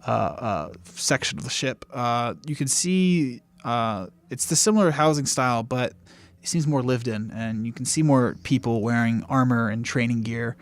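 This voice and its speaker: A man running a tabletop game as game master, improvising scenes in a halting, informal, jokey way. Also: mid-range voice. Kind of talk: casual banter.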